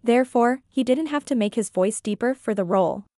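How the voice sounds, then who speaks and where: Female reviewer, higher register, dry close voice-over, earnest read. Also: brisk, dry recording. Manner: earnest, opinionated, even read-out delivery. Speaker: reviewer and author. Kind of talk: scripted voice-over narration.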